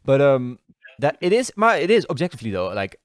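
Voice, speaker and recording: A man's voice: medium pitch, very animated, brisk, no echo, clean studio sound. A man in his twenties hosting a casual podcast chat, blunt and informal, often questioning his partner. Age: 20s. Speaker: podcast host.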